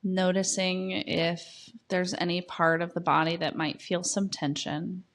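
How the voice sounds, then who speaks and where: A woman leading a guided meditation, giving gentle, soft instructions with long pauses between phrases. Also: lowish voice, level tone.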